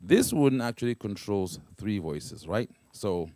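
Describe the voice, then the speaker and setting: A man's voice: on the low side, very animated, dark tone, no room sound, clean studio sound. A man casually demonstrating a keyboard's sounds, explaining step by step and often checking in with "right?" and "okay?". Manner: halting, with fillers and restarts. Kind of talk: casual instrument demo. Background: keyboard pads and guitar playing.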